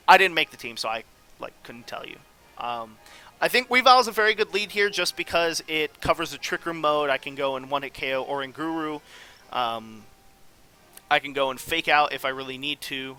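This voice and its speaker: A man's voice: fairly high pitch, sharp tone, smooth. A man giving casual, off-the-cuff commentary on a game as host, rambling and analytical as he thinks aloud. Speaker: gaming video host.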